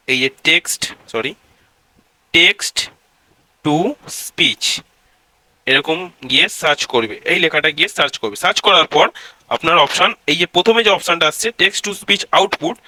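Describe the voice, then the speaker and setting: A man's voice: on the high side, sharp tone, no echo, rough recording. A man hosts a casual step-by-step tech tutorial, addressing viewers directly and repeating his instructions.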